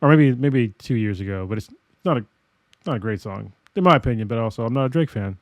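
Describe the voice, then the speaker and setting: A man's voice: mid-range voice, animated, quick pace, no room sound. An American man co-hosting a podcast, telling stories in a rambling way with lots of fillers and laughs. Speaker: podcast co-host. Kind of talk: casual chat.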